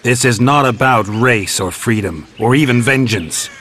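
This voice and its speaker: An actor playing a cynical, world-weary warrior who hunts monsters in a video game, speaking in a dry, blunt, restrained way. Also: male voice, medium pitch, a little slow, a bit bright, a little hiss or hum, natural voice. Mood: challenging.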